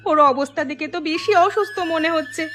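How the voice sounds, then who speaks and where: A woman's voice: extremely high pitch, quick pace, pushed and bright, faint music behind. A woman playing an anxious, morally firm village wife in a cartoon, speaking in an earnest, insistent way. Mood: anxious, pleading. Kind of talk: cartoon dialogue acting.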